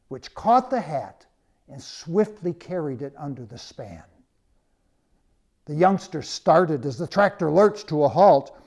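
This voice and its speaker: A man reading his own story as scripted narration, with measured, dramatic phrasing and pauses. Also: a little high, darkish tone.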